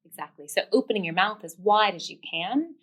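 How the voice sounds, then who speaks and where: A woman's voice: medium pitch, animated, a little fast, fairly bright tone, slight background noise. A woman giving step-by-step instruction as a speech therapist, explanatory and encouraging.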